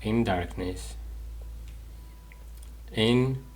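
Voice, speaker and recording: A man's voice: on the low side, extremely slow, darkish tone, a little hiss or hum. A man doing casual let's play commentary, musing tentatively and thinking aloud as a gaming streamer. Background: game music.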